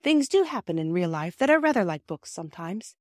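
A woman reading the part of a gentle, measured mother in an audiobook. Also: lowish voice, sing-song, no echo, studio quality.

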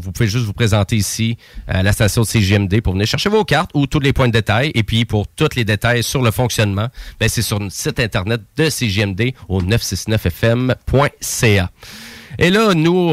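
A man's voice: mid-range voice, no echo. A young man with a Québécois accent hosting a live radio show in a station studio, chatty and joking, with lots of fillers. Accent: Québécois French.

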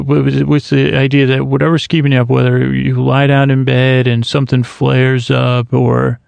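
Gravelly, meandering man hosting a podcast. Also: mid-range voice, darkish tone, dry recording. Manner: meandering, self-interrupting, many restarts. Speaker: podcast host. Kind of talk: rambling sleep podcast monologue.

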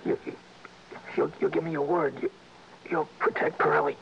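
A man's voice: a little high, level tone, moderate pace, vocal fry. An actor in an old radio drama playing a dying, desperate prisoner who is out of breath, stammering and repeating his words. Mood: urgent, pleading. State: out of breath, gasping and faltering. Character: dying male prisoner, a guilt-ridden safe robber, desperate. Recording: muffled vintage radio recording, faint hiss.

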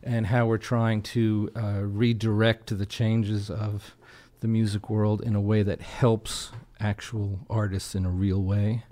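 A man's voice: on the low side, little pitch movement, slow, hardly any pauses, mellow. A man speaking as a guest folk musician in a casual interview, explaining things earnestly. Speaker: guest folk singer-songwriter and broadcaster.

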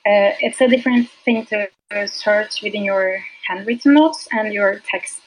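A woman whose first language is Turkish, a UX designer as a podcast guest, talking hesitantly with many fillers and soft laughs. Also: medium pitch, measured pace, low-quality recording. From Turkey. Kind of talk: casual interview.

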